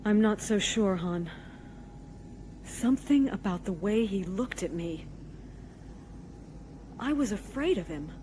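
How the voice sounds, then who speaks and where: An actress playing an earnest rebel princess in a sci-fi audio drama. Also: female voice, mid-range voice, lively intonation, slow pace, pauses often, warm tone. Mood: uneasy. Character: uneasy, soft-hearted princess, resolute rebel. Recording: noisy starship cabin ambience.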